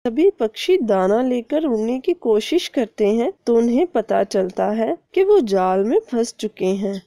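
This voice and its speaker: A woman's voice: fairly high pitch, big pitch swings, unhurried, mellow, dry recording, studio quality. A woman narrating a scripted cartoon story as storyteller.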